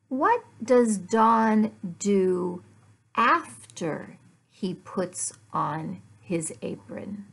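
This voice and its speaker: A middle-aged woman, an English teacher, giving a lesson in deliberate, word-by-word phrases with repeated questions and answers. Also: medium pitch, vocal fry, bright, forceful tone. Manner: deliberate, word-by-word enunciation for learners. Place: small furnished room at home.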